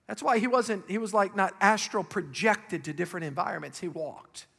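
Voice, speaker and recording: A male pastor with a raspy voice preaching a sermon, calling out questions to the congregation with rising emphasis. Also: high-pitched, normal speed, bright, forceful tone, big-room sound.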